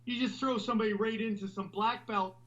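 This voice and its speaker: A man hosting a podcast, talking loudly and animatedly in a rambling, casual way. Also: high-pitched, steady tone, brisk, muffled recording. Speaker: podcast host.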